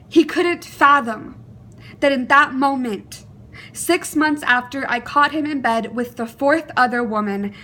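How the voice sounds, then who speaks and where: A young adult woman performing a dramatic monologue in an earnest, deliberate, emotive way, with pauses. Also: high voice, pushed and bright, slight background noise.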